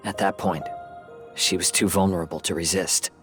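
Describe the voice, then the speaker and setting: An actor playing a composed, analytical young man who talks in a calm, reasoning way in a game cutscene. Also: sits low, normal speed, music in the background, close to an everyday voice.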